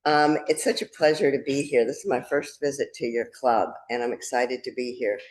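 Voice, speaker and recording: A woman from Connecticut with a soft voice, giving an earnest, measured talk as a guest speaker. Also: a bass voice. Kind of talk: presentation.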